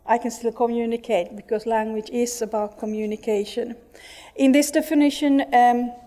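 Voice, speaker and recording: A woman's voice: a little high, dark tone. A middle-aged woman from Finland with a Finnish accent, giving an earnest conference talk with many restarts and fillers. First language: Finnish and Swedish. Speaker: conference speaker. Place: conference hall.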